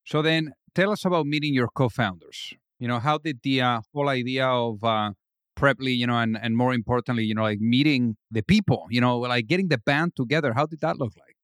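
Guy hosting a podcast, smooth clear voice, dry mic, lots of "you know, like". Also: mid-range voice, fairly quick, fairly bright tone, no echo. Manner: rambling questions full of "you know, like". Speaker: podcast host. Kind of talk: interview questions.

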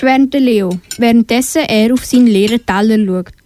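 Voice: medium pitch, normal speed, darkish tone, slight background noise. A young female narrator reading a scripted children's audio story.

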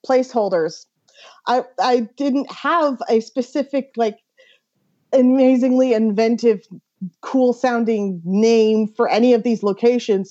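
Higher-voiced, mellow woman chatting, slightly muffled audio. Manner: giggly, animated storytelling with frequent "like". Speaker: guest author. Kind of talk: casual podcast chat.

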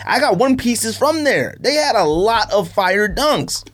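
Slangy man with lively, swinging pitch. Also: measured pace. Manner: slangy, informal. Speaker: podcast host. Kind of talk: casual sneaker podcast chat.

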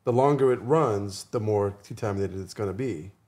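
Low-voiced man chatting on a podcast. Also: moderate pace, darkish tone. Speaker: podcast guest, rapper. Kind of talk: casual conversational podcast discussion.

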